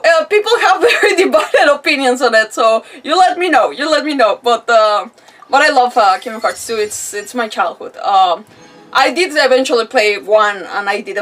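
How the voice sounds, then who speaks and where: A woman's voice: sits high, a bit bright, recorded from a distance. A woman gamer hosting a casual livestream, chatting in a rambling, giggly way and often interrupting herself.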